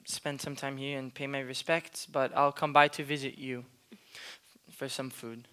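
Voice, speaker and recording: A man's voice: mid-range voice, level tone, measured pace, bright, forceful tone. A young man acting in a school musical as an earnest, hesitant and reserved magician. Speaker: stage actor and singer in a school musical.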